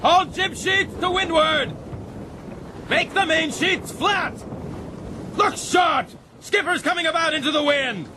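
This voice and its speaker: An actor playing a brisk, dutiful ship's mate projecting his voice in a radio drama. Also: very high voice. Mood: urgent shouting. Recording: loud sea and wind ambience.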